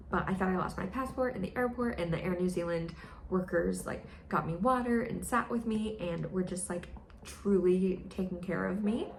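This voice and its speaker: A young American woman chatting casually in a vlog, full of fillers and playful quoted voices. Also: vocal fry. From United States. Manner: chatty, with many fillers, mimics others in high voices. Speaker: vlogger. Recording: echoey room, faint noise.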